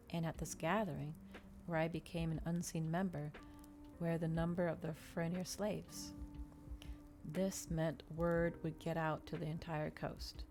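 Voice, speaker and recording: A woman reads fiction in a hushed ASMR style. Her voice is deep for a woman, with a lot of gravelly fry and a dark, relaxed tone. The delivery is soft, measured and unhurried. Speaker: ASMR streamer. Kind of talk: ASMR novel reading.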